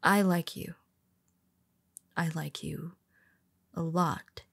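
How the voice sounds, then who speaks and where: A woman voices a nervous young heroine who confesses in a low, husky half-whisper. Her words come slowly and her voice is gravelly. She trips over her own restarts and stays soft-spoken throughout. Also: fairly even intonation, dry recording, exaggerated voice. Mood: tender, confessional. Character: tender, nervous young female superhero. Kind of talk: audio roleplay for a listener.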